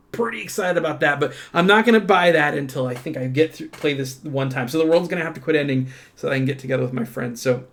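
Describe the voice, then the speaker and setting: A man with a mid-pitched voice talks casually as he presents an unboxing indoors. His delivery is enthusiastic and informal, a little halting, with thoughts that trail off and pick up again. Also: vocal fry. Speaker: host of a tabletop game unboxing channel. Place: indoors at a tabletop.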